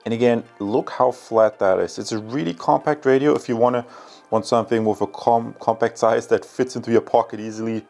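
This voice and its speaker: A man's voice: mid-range voice, darkish tone. A man presenting a casual, explanatory tech review, speaking enthusiastically and directly to viewers.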